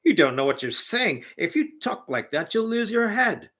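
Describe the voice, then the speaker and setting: A prim, alarmed apothecary who scolds in a raised, higher voice, as a man puts him on. The husky tone of the reader stays under it in this storybook telling. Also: very animated, moderate pace, fairly bright tone, exaggerated voice. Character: shocked, moralizing male apothecary.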